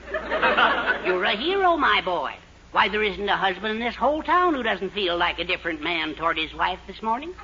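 An actor playing a middle-aged henpecked husband, florid and grandiose, in a 1940s radio sitcom. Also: male voice, high-pitched, big pitch swings, moderate pace, a loud crowd. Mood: gushing, elated. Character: henpecked husband, grandiose, gushing and timidly brave. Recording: very noisy, muffled 1940s radio recording.

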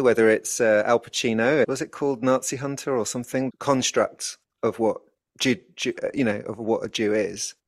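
A man's voice: medium pitch, creaky, no echo, studio quality. A man co-hosting a conversation podcast, speaking in a thoughtful, musing way. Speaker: podcast co-host. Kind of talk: casual conversation.

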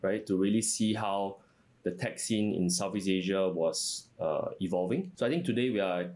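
A man, answering interview questions as a company finance chief in a measured way, often saying "you know" and "uh". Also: low-pitched, a little mellow. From Singapore. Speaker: company CFO, guest.

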